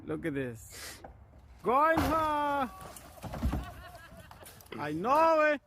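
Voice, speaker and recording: Very high-pitched man in a noisy clip. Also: big pitch swings, very slow. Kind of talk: casual exclamations in a video clip. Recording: noisy clip with clatter, from afar.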